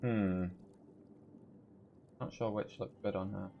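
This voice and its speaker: A man's voice: fairly low pitch, steady tone, takes it slowly, vocal fry, muffled recording, background noise. A man live streaming a video game, casually thinking aloud as he plays. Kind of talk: casual gameplay commentary. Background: video game music and sounds.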